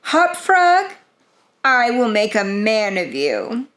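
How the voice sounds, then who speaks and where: Slow-speaking, imperious tyrant king acted by a woman. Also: on the high side, huge pitch swings, heavily acted. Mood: delighted. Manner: imperious, loud exclamations. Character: tyrannical, blustering male king who loves crude jokes. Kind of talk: dramatic gothic story reading.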